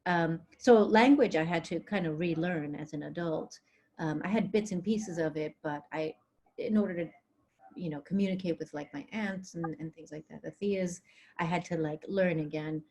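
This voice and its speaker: A woman with a fairly low voice talks about her work in an interview over a video call. She grew up partly in Greece with Greek as her first language, and she speaks haltingly, with frequent fillers and restarts. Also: a little mellow. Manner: hesitant, many fillers and restarts. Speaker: author being interviewed. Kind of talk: conversational interview.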